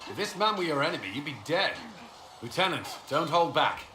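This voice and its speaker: An actor playing a plain-spoken military commander in a video game, with a brisk, earnest, commanding manner. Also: male voice, a little high, lively intonation, background noise, lightly acted. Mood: stern, commanding. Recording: echoing space, music clearly underneath.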